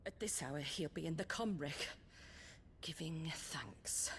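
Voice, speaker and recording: A woman's voice: low-pitched, slow pace, a little hiss or hum. An actress playing a stern but caring older noblewoman in a game cutscene, scolding and commanding. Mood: hushed. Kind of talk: video game cutscene acting.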